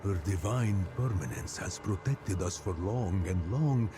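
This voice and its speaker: A solemn old storyteller of a dying forest folk for a fantasy game. The voice is fairly low and slow, musing and halting as it goes. Clear music plays underneath. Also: male voice, expressive. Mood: solemn, devout. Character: grave, forgetful elder storyteller of a dying forest people, devout. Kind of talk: theatrical fantasy game dialogue.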